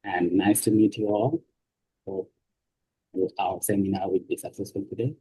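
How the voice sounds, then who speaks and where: Husky, mellow man from Nepal. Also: deep voice, steady tone, moderate pace, poor audio. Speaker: panelist, doctoral student. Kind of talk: formal self-introduction on a video call. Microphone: video call.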